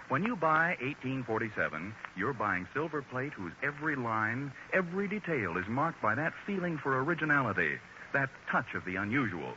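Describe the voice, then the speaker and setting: An American man working as an announcer, delivering a scripted 1940s radio advertising pitch. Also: bright, forceful tone. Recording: muffled, noisy 1940s radio recording.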